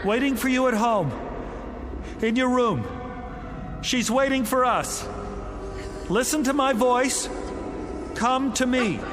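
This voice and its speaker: A man's voice: extremely high pitch, takes it slowly, long pauses. An actor playing a desperate, determined father searching for his young daughter in a radio drama, calling out urgently. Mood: urgent reassurance. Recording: long cavernous reverb, loud music underneath.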